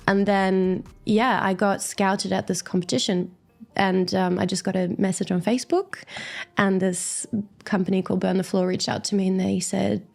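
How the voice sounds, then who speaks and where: A woman's voice: mid-range voice, little pitch movement, moderate pace, vocal fry, darkish tone, soft background music. A woman in her twenties with an Australian accent, chatting as an interview guest in an upbeat, reflective way. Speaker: guest dancer. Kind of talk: casual interview chat.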